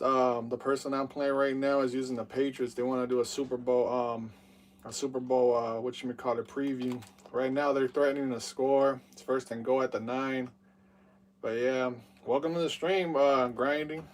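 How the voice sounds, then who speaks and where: A man's voice: mid-range voice, level tone, moderate pace, far from the mic, slight background noise. A man in his thirties hosting a live game stream, rambling with lots of fillers and hyped play-by-play. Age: 30s.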